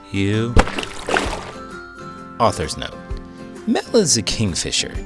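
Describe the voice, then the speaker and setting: A man giving a playful, expressive read-aloud of a picture book. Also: deep voice, gravelly. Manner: animated, playful storytelling.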